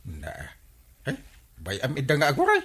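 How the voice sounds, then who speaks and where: A man's voice: fairly high pitch, wildly swooping pitch, moderate pace, fairly bright tone, husky, heavily acted. A man playing a sleepy, stubborn Filipino village captain in a comedy radio drama, grumbling and dawdling. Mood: stubborn, nonchalant.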